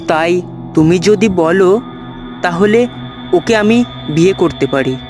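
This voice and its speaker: A man voicing a dutiful young village son in a cartoon story, with music behind him. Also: high-pitched.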